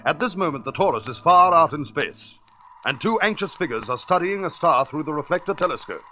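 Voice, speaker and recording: Narrator for a space radio serial, dramatic read, fairly high voice, music playing under it. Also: male voice, animated, a little fast, bright, forceful tone.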